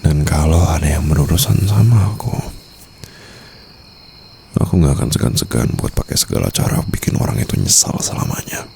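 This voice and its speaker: A man plays a possessive mafia boss murmuring to his wife in a low, gravelly Indonesian-accented voice. He teases and coaxes with pet names in an ASMR roleplay, dangerous to rivals but doting on her. Faint night crickets and ambience sit behind him. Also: animated, brisk, a little mellow, a little hiss or hum, exaggerated voice. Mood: possessive, coaxing. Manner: teasing, coaxing, murmured endearments with English phrases mixed in.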